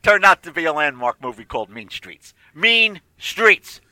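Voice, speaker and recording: A man in his sixties with a shrill, distinctive voice, bantering about sports and repeating himself emphatically. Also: sing-song, normal speed, sharp tone, no echo. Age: 60s. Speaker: sports talk show panelist.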